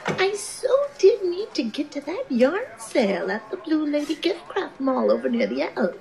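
A woman's voice: high-pitched, sing-song, normal speed, vocal fry, a little mellow, heavily acted. A woman playing a fussy, kindly, motherly older office columnist in an audio drama, doting on everyone around her. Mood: chatty, doting. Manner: fussy, doting, says "dearie".

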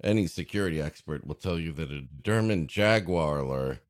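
A man's voice: extremely low pitch, expressive, moderate pace, no echo, clean studio sound. A man co-hosting a comedy podcast, improvising jokey, mock-serious asides in casual chat.

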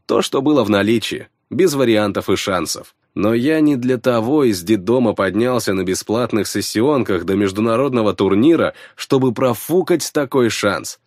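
A man narrating fiction in the first person, scripted and expressive, on a close studio mic. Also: on the low side, dry recording. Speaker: audiobook narrator. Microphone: close studio microphone.

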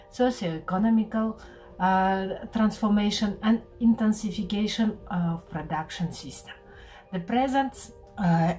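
A woman in her forties from Kazakhstan presenting her research project in a careful, halting way, recorded at home on a webcam. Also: medium pitch, warm tone, far from the mic, poor audio. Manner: careful, halting, many "uh" pauses. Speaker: visiting researcher. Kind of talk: prepared self-presentation. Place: home room with bookshelves, indoors.

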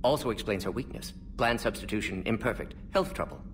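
An actor playing a brisk older alien scientist in a video game, speaking in clipped, clinical sentences without articles. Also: male voice, lowish voice, recorded from a distance, slight background noise, close to an everyday voice. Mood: clinical, insistent.

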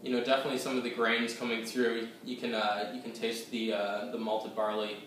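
A man's voice: mid-range voice, little pitch movement, a little fast, fairly bright tone, slight background noise. A young man in his twenties giving a casual whiskey tasting review to the camera, rambling and hesitant with many fillers, seated at a table in a small room at home. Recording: echoey room, voice a bit distant.

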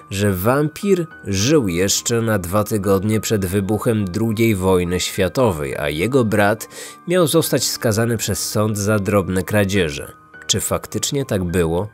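A man's voice: lowish voice, unhurried. A man reading a scripted, dramatic true-crime narration as a podcast narrator. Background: music.

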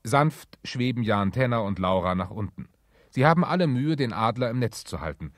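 A man's voice: mid-range voice, expressive, moderate pace. A man reading scripted narration as the narrator of a children's radio play.

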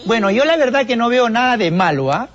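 Man voicing a catty female panelist, mocking, rapid, high, dull sound. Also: expressive, a bit bright. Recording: dull lo-fi recording.

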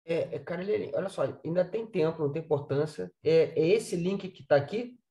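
A man moderating the session who speaks quickly and reassuringly over a video call. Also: on the high side, warm tone. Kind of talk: casual remarks on a call. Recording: distant lo-fi call audio.

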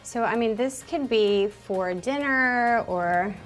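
A woman's voice: fairly high pitch, slow, soft background music. A woman stylist talking enthusiastically about outfits during a fitting in a studio. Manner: enthusiastic, upbeat. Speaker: fashion stylist. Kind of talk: casual chat.

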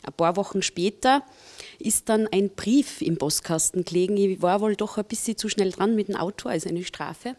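A young Austrian woman, a singer and bandleader, talks through interview questions in a friendly, chatty way, pausing on small fillers as she goes. Her voice sits in a middle range and is picked up on a handheld mic in a stone-walled music venue. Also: medium pitch, darkish tone. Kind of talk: casual interview answers.

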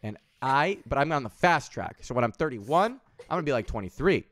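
A man's voice: mid-range voice, expressive, brisk, fairly bright tone, no room sound. A man of thirty streaming from home, rambling in casual chat and swearing freely, with lots of restarts. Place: home room with window, indoors.

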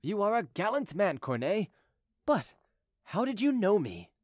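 A courteous gentleman fugitive, acted out by a male narrator in storybook style. He is persuasive and evasive, with a raised, animated pitch and a dry, close sound. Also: a little slow, dry recording, exaggerated voice. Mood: confiding, coaxing. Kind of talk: audiobook character dialogue.

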